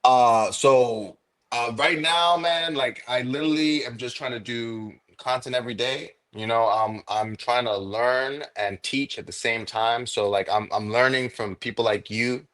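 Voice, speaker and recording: A man's voice: mid-range voice, unhurried, fairly bright tone. A man talking in a casual chat as a guest, eager and filling his speech with "like" and "um". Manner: enthusiastic, many fillers like "like" and "um". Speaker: guest content creator.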